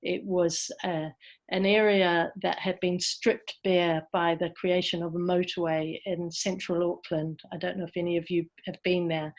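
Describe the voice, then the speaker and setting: A woman's voice: fairly low pitch, level tone, normal speed. A woman giving an informal presentation on an online call, speaking in a hesitant, reflective way. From New Zealand. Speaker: presenter from a community organisation.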